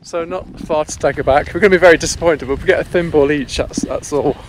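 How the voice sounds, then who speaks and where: A man's voice: on the high side, quick pace, slight background noise. A man travel vlogger chatting casually on holiday in a wry, joking way.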